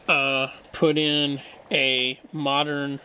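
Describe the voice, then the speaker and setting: A man giving an unscripted hands-on repair demonstration, explaining things in a halting, matter-of-fact way. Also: medium pitch, little pitch movement, sharp tone, slight background noise. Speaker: radio restorer demonstrating repair.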